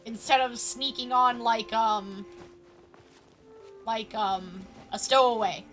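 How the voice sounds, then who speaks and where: A woman's voice: fairly high pitch, slow, fairly bright tone. A woman giving casual live gameplay commentary as a streamer, playful and rambling. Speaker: game streamer. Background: faint game music.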